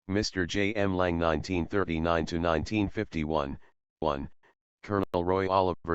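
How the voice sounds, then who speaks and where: A man narrates from a script in a low, clean voice. His delivery is that of a read historical account. The recording is dry and somewhat muffled. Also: smooth, dry recording. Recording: dry but dull, lo-fi sound.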